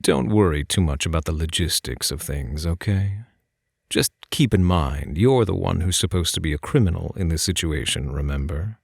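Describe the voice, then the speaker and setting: A man playing a suave, teasing phantom thief in an intimate ASMR roleplay, soft-spoken and coaxing, speaking straight to the listener. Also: low-pitched, normal speed, gravelly, slightly rough, dry recording, studio quality, full character voice. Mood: teasing, casual. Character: suave, teasing male phantom thief posing as prison guard.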